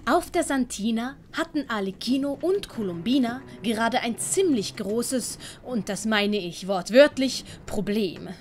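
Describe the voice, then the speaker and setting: A woman's voice: on the high side, animated, unhurried, hardly any pauses, darkish tone. A woman narrating a scripted audio drama as a storyteller.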